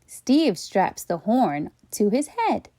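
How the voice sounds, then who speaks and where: A woman reading a storybook aloud for children in an expressive way, with playful asides. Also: medium pitch, slow, no room sound. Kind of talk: animated storybook read-aloud.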